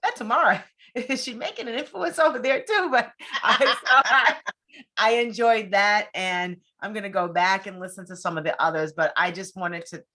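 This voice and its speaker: A woman's voice: medium pitch, moderate pace, pushed and bright. A woman hosting a conversational interview show, speaking in an effusive, encouraging way.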